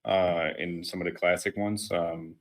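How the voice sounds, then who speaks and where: A man with a Danish accent, Danish his first language, answering interview questions in a relaxed way over a remote call. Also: low voice, measured pace. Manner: relaxed, laughs at own slips. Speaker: company founder guest.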